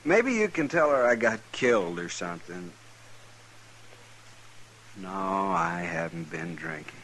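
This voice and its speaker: An American actor playing a despondent, desperate frontier drifter with a gravelly voice in a radio western. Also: male voice, on the high side, huge pitch swings, a little slow, fairly bright tone, a little hiss or hum, exaggerated voice, poor audio. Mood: defeated. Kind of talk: old-time radio western acting.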